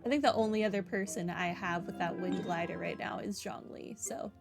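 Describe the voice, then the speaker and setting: A woman's voice: on the low side, a little fast. Offhand woman gamer over music. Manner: offhand, thinking aloud. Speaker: gaming streamer. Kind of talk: casual playthrough commentary. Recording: game music behind the voice. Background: clearly audible game music.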